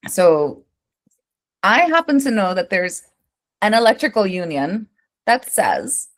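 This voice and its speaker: An American woman working as an interior designer, answering interview questions in a lively, conversational way and often checking in with "right?". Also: medium pitch, slow. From United States. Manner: animated, keeps asking "right?", tells stories.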